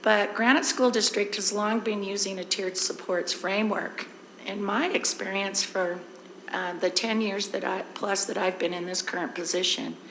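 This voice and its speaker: Hoarse woman, district presenter, easygoing and explanatory, in a roomy hall with faint noise. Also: medium pitch, fairly even intonation, moderate pace, a bit bright. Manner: conversational, explains with everyday examples. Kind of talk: informal presentation at a board meeting. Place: board meeting room.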